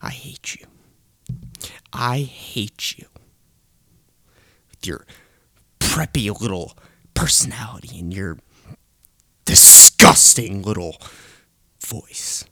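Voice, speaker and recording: A man's voice: fairly low pitch, expressive, slow pace, long pauses, sharp tone, no echo, clean studio sound. A young man delivering a seething, hateful rant, often dropping into a hissed whisper.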